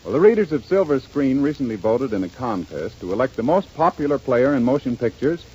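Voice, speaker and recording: A man working as announcer on a 1930s radio show, with a smooth, formal delivery. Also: mid-range voice, sing-song, a little fast, mellow. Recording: dull lo-fi 1930s radio broadcast.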